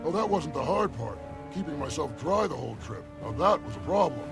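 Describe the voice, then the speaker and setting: A woman playing a gruff, swaggering beast-warrior tank commander in a video game, with a gravelly voice and a brash, wry manner. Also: deep voice, sing-song, brisk, heavily acted. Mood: wry, boisterous. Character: gruff, swaggering female charr warband leader, tank commander. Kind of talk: video game cutscene acting. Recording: loud game music behind.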